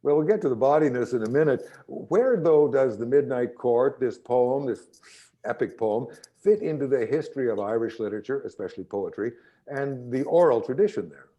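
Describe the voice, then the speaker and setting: A man's voice: medium pitch, lively intonation, moderate pace, lo-fi sound. A man acting as interviewer, putting considered questions to a guest about literature.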